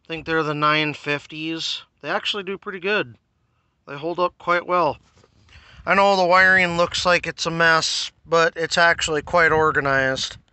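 A man's voice: a little high, moderate pace, sharp tone, smooth, no echo. A man giving a casual, informal walkthrough as a car audio hobbyist, self-deprecating and a little coarse, recorded on a handheld phone. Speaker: car audio hobbyist showing his system. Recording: noisy phone recording around vehicle.

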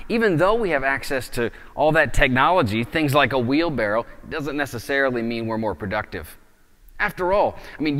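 A man's voice: mid-range voice, a bit bright, big-room sound. A man in his thirties preaching a sermon in a conversational way, explaining with gestures, recorded on a lapel mic indoors. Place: indoors in front of a wooden plank wall.

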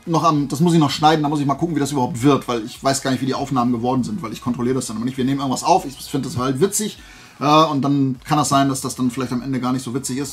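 A man chatting casually to viewers like a vlogger, in a rambling, digressive and self-deprecating way. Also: medium pitch. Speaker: YouTube vlogger showing flea market and fair finds.

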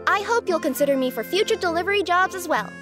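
A woman's voice: very high-pitched, animated, fast talker, over music. An actress playing an eager, chipper young cat-like delivery girl in a video game, keen and polite.

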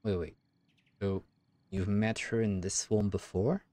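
A man's voice: fairly low pitch, fairly even intonation, measured pace, warm tone, no room sound. A young man giving casual, amused reaction commentary as a game streamer. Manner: amused, giggly.

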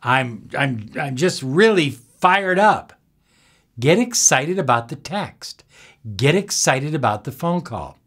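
Slow, expressive older man coaching. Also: a little high, slightly rough. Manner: deliberate, emphatic, pausing between short phrases. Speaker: self-help coach. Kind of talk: instructional talk to camera. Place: small indoor room with bookshelves.

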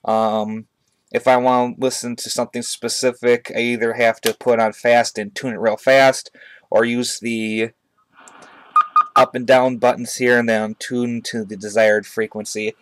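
A man with a mid-pitched voice talks through a hobby gear review in a casual way. His delivery is halting and conversational, picked up by a webcam in a room at home. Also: little pitch movement. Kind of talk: casual product review to camera. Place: indoors, small room at home. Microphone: webcam on a tripod, speaker off camera.

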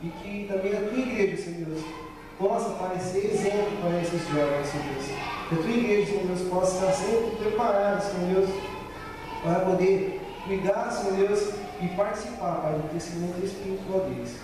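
High-voiced man praying in an echoey church. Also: normal speed. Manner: repeats "Senhor Deus" and "Pai" between every phrase. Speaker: church member leading prayer. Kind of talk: spoken congregational prayer. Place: large church hall. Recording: echoey church hall, distant mic, background noise.

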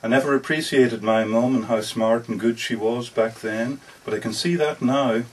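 A man's voice: mid-range voice, fairly even intonation, normal speed, breathy edge, voice pushed down, natural voice. A man reading aloud as an earnest, lovestruck working-class man, folksy and plainspoken, in a dramatic story reading. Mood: reflective, regretful. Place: bookshop book launch. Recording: distant mic at a live reading.

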